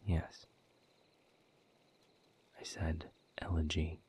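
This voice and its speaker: A man playing a weary, bitter demon captive in an audio roleplay, speaking in a husky, breathy voice with measured, sardonic formality. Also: a bass voice, little pitch movement, a little mellow, heavily acted. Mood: dry, composed. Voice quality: husky, breathy, often dropping toward a whisper. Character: weary, bitter, guarded male demon captive, quietly defiant. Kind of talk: scripted audio roleplay monologue.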